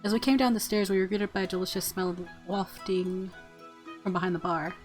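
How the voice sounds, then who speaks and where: A woman plays through a visual novel, reading the narration aloud and adding her own giggly reactions. Her voice is mid-pitched and expressive, with faint music behind it. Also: brisk.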